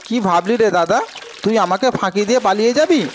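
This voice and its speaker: A man's voice: sits high, fairly quick, noisy background, voice pushed up, natural voice. A man voicing a hapless, spendthrift younger brother in a cartoon folk tale, pleading in a whining, wheedling way. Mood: indignant.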